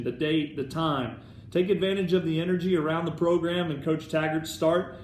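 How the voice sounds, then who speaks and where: An American man with a slightly high voice presents an organizational update in an encouraging, instructive way. The delivery sounds partly scripted. The room echo is obvious, with faint noise behind him. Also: a little mellow. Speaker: alumni club staff presenter. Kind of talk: semi-scripted update to volunteers. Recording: roomy echo, background noise.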